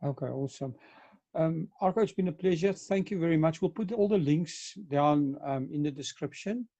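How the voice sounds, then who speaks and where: Man, mellow, slightly high voice. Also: moderate pace. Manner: easygoing, with frequent "um" fillers. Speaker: host. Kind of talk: casual video interview.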